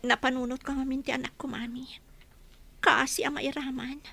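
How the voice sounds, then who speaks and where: A wounded young wife in a radio drama. Her high voice is bright and strained, with a creaky edge. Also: level tone, normal speed, dry recording, exaggerated voice. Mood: distressed. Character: anguished young wife and mother, wounded but dutiful. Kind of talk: Filipino radio drama acting.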